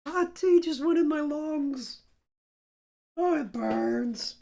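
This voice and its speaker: A hoarse man streaming a video game, joking and rambling casually. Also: very high-pitched, expressive, very slow. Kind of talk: casual gaming stream chat.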